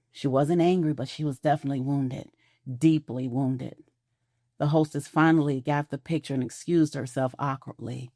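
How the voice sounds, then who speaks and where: A woman narrating an audio drama in a measured storytelling manner, recorded close and dry. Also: low-pitched, dark tone, no room sound.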